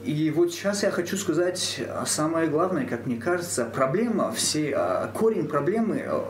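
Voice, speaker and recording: A man, a theatre actor, speaking earnestly and deliberately in a panel discussion, pausing with hesitations. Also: on the high side, big pitch swings. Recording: echoey room, faint background hum.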